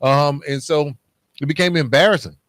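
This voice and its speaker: A man's voice: a little high, moderate pace, fairly bright tone, dry recording. A man speaking as a podcast host in a casual, candid, colloquial way, reflecting on personal experience.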